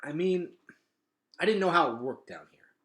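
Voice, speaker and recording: A man's voice: a little high, animated, normal speed, fairly bright tone, echoey room, voice pushed up. A man voicing a blunt, wary boy in a children's novel, with a questioning way of talking. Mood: uneasy. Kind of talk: children's novel read-aloud.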